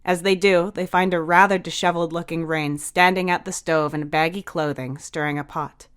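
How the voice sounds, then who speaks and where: Casting: female narrator with a slightly low voice for scripted story narration. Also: steady tone, moderate pace, a bit bright, smooth.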